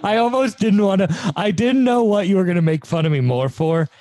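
A man's voice: high-pitched, brisk, dark tone, no room sound, studio quality. A man in his twenties on a casual podcast chat, rambling, self-mocking and laughing often. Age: 20s.

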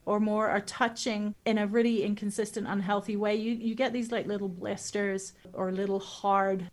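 A woman's voice: medium pitch, flat delivery, moderate pace, a little mellow. A woman vocal coach answering interview questions casually, explaining with everyday comparisons and demonstrating sounds.

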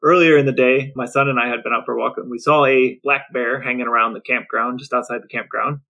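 Male podcast host, casual storyteller, mid-pitched voice with plenty of vocal fry. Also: steady tone, quick pace, sharp tone, dull audio.

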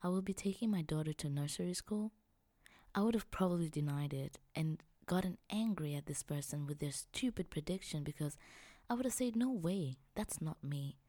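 Woman hosting her podcast, low mellow voice, dry close sound, telling her own story. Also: moderate pace, dry recording. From Tanzania. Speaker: podcast host. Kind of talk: personal storytelling monologue.

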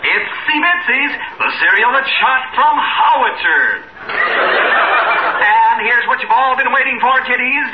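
Gushing high-pitched old-time radio announcer. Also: male voice, lively intonation, exaggerated voice. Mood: syrupy excitement. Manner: grandiose, drawn-out showman delivery. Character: gushing syrupy kiddie radio host uncle, male. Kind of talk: 1950s radio comedy announcing. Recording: noisy, dull, echoey 1950s radio recording.

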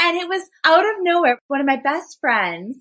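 A woman's voice: high voice, very animated, moderate pace, a bit bright. A woman animatedly and enthusiastically telling a couple's story in casual chat.

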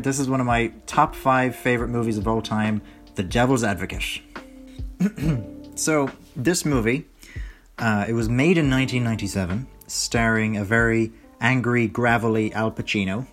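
A young man hosting his own podcast, talking in a rambling, chatty way that corrects itself, his voice hoarse from a lot of talking. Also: mid-range voice. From Ireland. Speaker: podcast host. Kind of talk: casual solo podcast chat.

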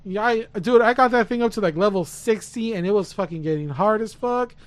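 A man's voice: sits high, fairly quick, no room sound. A man co-hosting a podcast in casual chat, hyped and slangy with heavy swearing. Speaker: podcast co-host.

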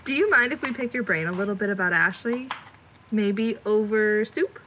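A woman's voice: mid-range voice, normal speed, natural voice. A young woman playing a jittery, chatty amateur ghost investigator in an audio drama, talking in casual, rambling slang. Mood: polite. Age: young adult. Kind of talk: audio drama acting, chatty self-talk. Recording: muffled recording with background noise.

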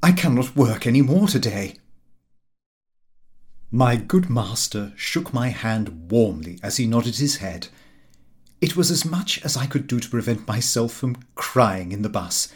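A man reading a comic diary aloud in an expressive, theatrical way. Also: medium pitch, unhurried. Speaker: audiobook narrator. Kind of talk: scripted literary narration of a comic diary.

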